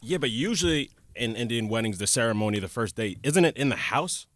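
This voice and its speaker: A man guesting on a comedy podcast, trading quick quips and bursting into laughter. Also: mid-range voice, expressive, fairly bright tone. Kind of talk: casual comedy banter.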